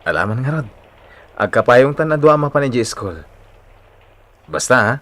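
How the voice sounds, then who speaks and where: A man's voice: mid-range voice, big pitch swings, moderate pace, fairly bright tone. An actor with an Ilocano Filipino accent playing a bashful young man secretly in love, in radio drama style. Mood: friendly, bashful.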